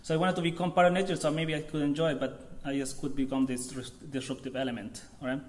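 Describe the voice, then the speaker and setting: A man from Chile in his thirties with a Chilean accent, giving an informal artist talk to an audience in a halting way, searching for words. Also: a little high, little pitch movement, a little hiss or hum. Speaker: visiting artist.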